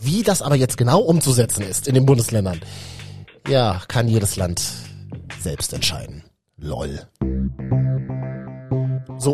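A man's voice: mid-range voice, darkish tone. A man from Berlin hosting a casual youth talk show, speaking colloquially and addressing viewers directly. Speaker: host.

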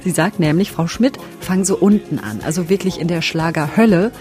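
A woman's voice: lowish voice, a little fast, darkish tone. A woman, a radio journalist, talking through her music challenge in a chatty, frank and self-mocking way. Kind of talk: casual first-person reportage.

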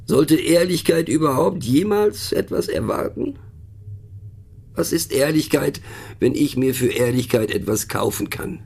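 An actor playing a pensive, mocking narrator in a radio play, musing rhetorically on abstract words and addressing the listener in wry asides. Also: male voice, mid-range voice, vocal fry, a little mellow, slightly rough.

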